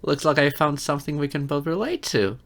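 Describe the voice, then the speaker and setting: A man's voice: on the high side, brisk, creaky, fairly bright tone. A man playing an insecure, geeky adult silver fox in an audio roleplay, hesitant, stammering and self-deprecating. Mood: shy, playful. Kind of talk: ASMR-style audio roleplay acting.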